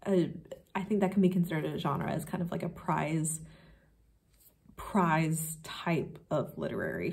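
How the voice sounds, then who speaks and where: A woman with a dark, relaxed tone and a slightly low pitch speaks as a book reviewer. Her delivery is casual and unscripted, like chatting to a camera. She rambles a little, tentatively reworking her phrasing.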